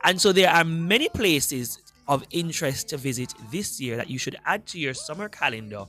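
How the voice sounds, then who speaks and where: A man with a Jamaican accent hosting a live radio show in a studio, reading out listener shout-outs in an upbeat, emphatic announcer style. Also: on the high side, expressive, moderate pace, soft background music. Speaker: radio host.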